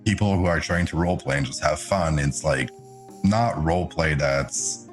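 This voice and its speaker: Deep-voiced French-Canadian man chatting casually. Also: moderate pace, faint music behind. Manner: chatty, laughs often, animated jumps in pitch. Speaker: podcast guest, streamer. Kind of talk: casual chat.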